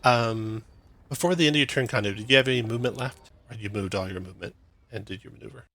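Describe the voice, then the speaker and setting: A man acting as game master, narrating a tabletop game in a relaxed, explaining way with fillers. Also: fairly low pitch, fast.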